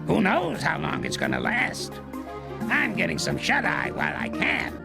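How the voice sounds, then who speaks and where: Crabby old cartoon ape, crackly and squawky, jumps all over the place, loud music under him. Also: male voice, on the high side, huge pitch swings, moderate pace, heavily acted. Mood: weary. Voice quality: gravelly, creaky old voice. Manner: grouchy, barking and scolding. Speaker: voice actor. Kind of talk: animated series voice acting. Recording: clip with loud music and sound effects behind.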